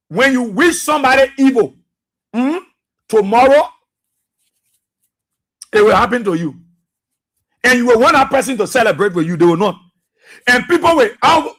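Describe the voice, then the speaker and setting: A man with a Liberian English accent hosting a radio talk show. He repeats himself and exhorts listeners, with many fillers. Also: breathy edge. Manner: low and subdued for birthday greetings, then loud and preachy.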